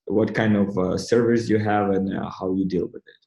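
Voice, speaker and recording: A man from Ukraine interviewing over a video call in halting English, with many pauses and fillers. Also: low-pitched, fairly even intonation, vocal fry, warm tone, roomy sound, muffled recording.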